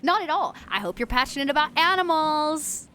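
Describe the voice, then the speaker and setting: A woman's voice: high voice, animated, moderate pace, pushed and bright, a little hiss or hum, pitched above the performer's own voice. A woman playing a bubbly, passionate animal-loving female vampire activist, earnest and cutesy, in a tabletop game. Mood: cheerful. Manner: earnest, theatrically indignant, cutesy.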